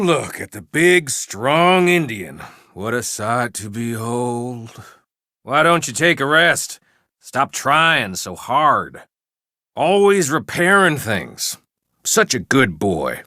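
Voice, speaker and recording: An actor playing a mean Old West outlaw in a video game, mocking and insulting his gang with drawn-out taunts. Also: mid-range voice, big pitch swings, pushed and bright, dry recording, clean studio sound, exaggerated voice. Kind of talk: video game character barks.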